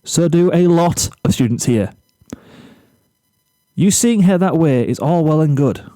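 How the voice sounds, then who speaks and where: A man reading a storybook character, an exasperated, blunt teenage boy who speaks firmly and deliberately. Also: on the high side, lively intonation, dark tone, dry recording, voice pushed up, poor audio. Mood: firm, reasoning. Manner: firm, emphatic, deliberate.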